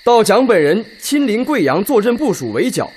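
A man playing a forceful, sceptical Red Army officer in a radio drama, with emphatic, declamatory delivery. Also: sits high, big pitch swings, moderate pace, husky, exaggerated voice. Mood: urgent, doubtful. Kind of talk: Chinese radio drama acting.

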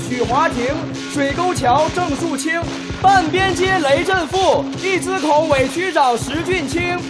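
A woman playing a young Red Army propagandist in a radio drama, declaiming a rousing announcement to poor villagers. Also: very high voice. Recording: loud music behind.

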